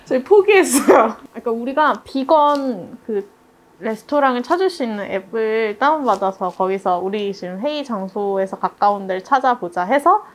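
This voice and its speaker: A woman leading a casual group discussion, chatty and telling anecdotes in an animated way. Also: on the high side, moderate pace. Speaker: interviewer and project member leading the discussion.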